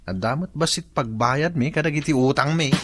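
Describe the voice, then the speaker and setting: A man's voice: fairly high pitch, normal speed, a bit bright, breathy edge, faint music behind. A man with an Ilocano accent playing a struggling village farmer, commiserating conversationally in a comedy radio drama. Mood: resigned, commiserating.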